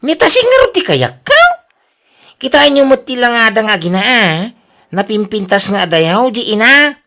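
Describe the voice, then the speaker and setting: Performer as smitten younger suitor, lively swinging pitch, emphatic, pleading, Filipino accent, radio drama. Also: moderate pace. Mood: indignant. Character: smitten, insistent younger suitor of older woman. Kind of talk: Ilocano radio drama acting.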